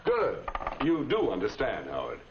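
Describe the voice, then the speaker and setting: A middle-aged man playing a calm, fatherly high school principal in a 1950s instructional film, measured and instructive. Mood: patient, approving. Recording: muffled, noisy 1950s film soundtrack.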